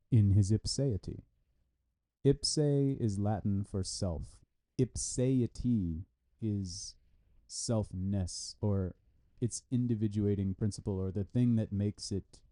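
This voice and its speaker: A man reading a scholarly text aloud with deliberate, halting phrasing. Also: lowish voice, dark tone. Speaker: reader of a philosophy article. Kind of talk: read-aloud scholarly text with brief explanatory asides.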